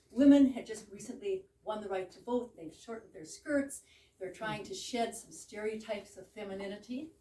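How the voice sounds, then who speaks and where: A woman's voice: mid-range voice, mellow. Female presenter, informal explainer style, mid-range voice, roomy distant recording with faint noise. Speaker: presenter introducing a play she acts in. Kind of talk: informal explanatory introduction to an audience. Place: room in a private home with a small audience. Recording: echoey room, distant mic, background noise.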